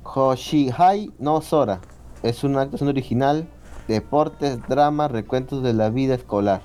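A man's voice: medium pitch, measured pace, dark tone. A man hosting a casual chat about new anime, laughing, swearing often and reading out show listings. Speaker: podcast host.

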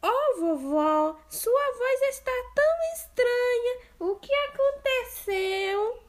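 Woman doing a squeaky little girl. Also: takes it slowly. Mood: wondering.

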